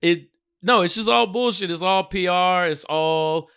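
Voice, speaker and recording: Clear-voiced American man, slangy and blunt. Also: high voice, measured pace, fairly bright tone. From United States. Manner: slangy, profane, emphatic. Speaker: podcast co-host. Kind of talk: casual podcast chat.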